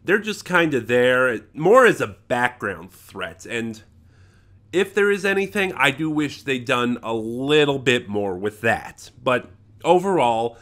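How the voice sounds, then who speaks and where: American male reviewer, mid-pitched voice, informal and unscripted, wandering delivery with self-corrections. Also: sing-song, slow pace, a bit bright. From United States. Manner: conversational, rambling with self-corrections. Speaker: audio drama reviewer. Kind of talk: casual unscripted review commentary.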